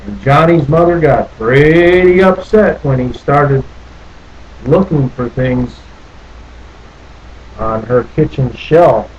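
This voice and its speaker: Slow-paced man reading a children's storybook. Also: mid-range voice, dull audio, slight background noise. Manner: drawn-out, folksy, playful delivery with little asides. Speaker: storybook reader. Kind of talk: animated read-aloud of a children's picture book.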